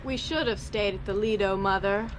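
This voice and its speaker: A woman's voice: mid-range voice, moderate pace, a little hiss or hum, natural voice, low-quality recording. A woman playing a young daughter in a radio drama, by turns eager, admiring and easily bored.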